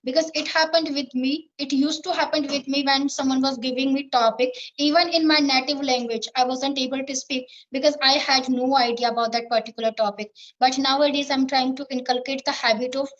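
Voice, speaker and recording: A woman's voice: sits high, fairly even intonation, fairly quick, few pauses, highs cut off. A woman with an Indian English accent answering interview questions in earnest, repetitive phrasing with fillers. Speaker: English learner being interviewed. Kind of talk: spoken English practice interview.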